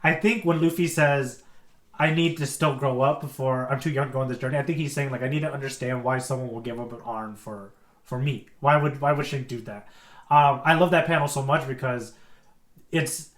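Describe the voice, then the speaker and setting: A man co-hosting a podcast in casual, enthusiastic, expressive chat, recorded in an echoey room. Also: mid-range voice, a little fast. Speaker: podcast co-host.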